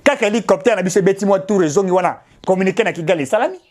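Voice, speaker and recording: A man's voice: high-pitched, quick pace, darkish tone. A middle-aged man from DR Congo with a Congolese French accent, speaking as a political commentator straight to his audience, emphatic and insistent. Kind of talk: impassioned direct-to-camera address.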